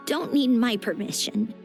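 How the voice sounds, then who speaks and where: An actress playing a proud, guarded young female scholar in a video game, with a measured and reserved manner that is easily flustered. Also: on the high side, gravelly, full character voice. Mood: wry.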